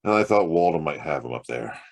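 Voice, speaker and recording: Wry private eye: deep voice, swooping intonation, sardonic and streetwise, noir radio drama style. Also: male voice, expressive, fairly quick. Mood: earnest bargaining. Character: wry, streetwise hard-boiled male private detective. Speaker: actor narrating and playing the lead. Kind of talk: hard-boiled detective radio drama acting.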